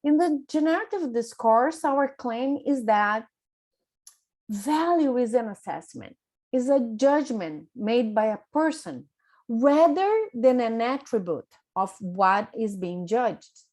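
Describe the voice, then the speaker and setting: Woman with a Brazilian Portuguese accent and a somewhat high voice, a coach giving a deliberate, careful presentation over a video call. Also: lively intonation, darkish tone. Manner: careful, deliberate, with small self-corrections. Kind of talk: prepared online presentation.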